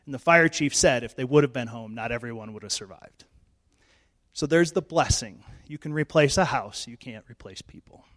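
A middle-aged male pastor, hoarse and losing his voice, giving a chatty, self-joking sermon in church on a handheld mic. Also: on the high side. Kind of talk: informal sermon. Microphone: handheld microphone.

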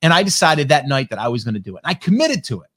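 A man's voice: mid-range voice, sing-song, rapid-fire, a bit bright. A man in his forties giving a casual motivational talk as a marketing coach, often checking in with his listeners with "okay?" and "right?". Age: 40s.

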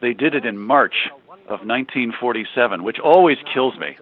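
A man's voice: mid-range voice, animated, normal speed. A man, a radio host, giving conversational commentary as a guest. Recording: thin, phone-like line.

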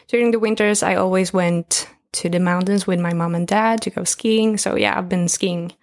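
A woman in her twenties from northern Sweden, speaking English with a Swedish accent in a relaxed, chatty interview. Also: medium pitch, normal speed. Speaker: guest. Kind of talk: casual interview talk.

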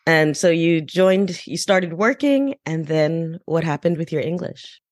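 A woman's voice: sits low, lively intonation, unhurried, no room sound, clean studio sound. A woman hosting a podcast, coaching and interviewing in a conversational style. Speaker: podcast host, business English coach.

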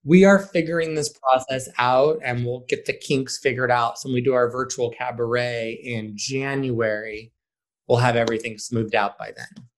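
A man answering interview questions conversationally as a high school theater director, stressing points by repeating words. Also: steady tone, moderate pace.

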